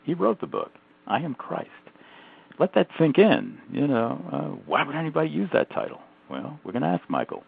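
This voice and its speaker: A man hosting a radio talk show, introducing his guest in an emphatic, animated way with frequent fillers. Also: on the high side, moderate pace, gravelly, husky. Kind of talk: radio interview with lively promotional delivery. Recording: tinny phone-like audio.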